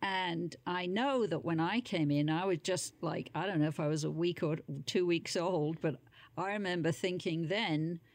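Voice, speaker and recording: A woman's voice: fairly low pitch, moderate pace. A woman speaking as an interview guest in a casual, reflective conversation.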